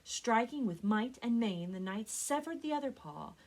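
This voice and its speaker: A woman reads a story aloud expressively, with deliberate, dramatic phrasing and strong emphasis, like a librarian at story time. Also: fairly high pitch, expressive. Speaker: librarian reading stories for a library program.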